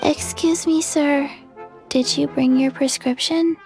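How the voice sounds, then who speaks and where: A woman voicing a blank, literal little zombie girl in a video game, speaking in a deadpan, halting way. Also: high voice, faint music behind. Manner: deadpan, halting, third-person self-reference.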